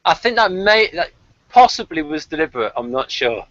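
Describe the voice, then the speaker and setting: A man's voice: on the high side, sing-song, moderate pace, a bit bright, dry recording. A man hosting a casual review discussion of a television series. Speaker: podcast host reviewing a TV series. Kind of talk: casual review chat with read-out notes. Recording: dull, slightly lo-fi audio.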